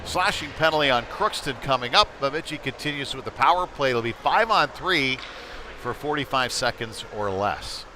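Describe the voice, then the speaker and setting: A man's voice: mid-range voice, expressive, moderate pace. A man doing live play-by-play hockey commentary for a local stream, speaking in clipped running phrases as he follows the play. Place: indoor ice rink during a game. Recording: noisy live rink broadcast. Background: loud ambient arena noise.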